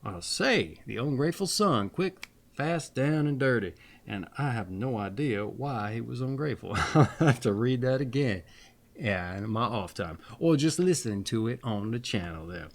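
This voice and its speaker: A man reading fairy tales aloud as a host, expressive and theatrical, with playful folksy asides. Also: mid-range voice, moderate pace. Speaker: storyteller and channel host.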